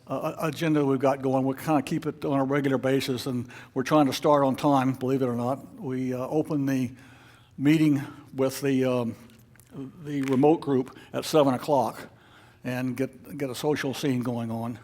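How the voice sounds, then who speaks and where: Man, medium pitch, informal meeting announcements, halting unscripted delivery, club leader. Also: fairly even intonation, darkish tone. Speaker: club officer leading a meeting. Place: club meeting room with an audience.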